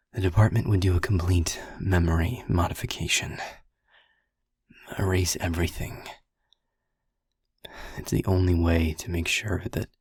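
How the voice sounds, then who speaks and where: A man playing a gruff, protective friend in an audio roleplay, speaking in a husky, breathy low voice with slow, deliberate phrasing. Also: darkish tone, dry recording, studio quality, exaggerated voice.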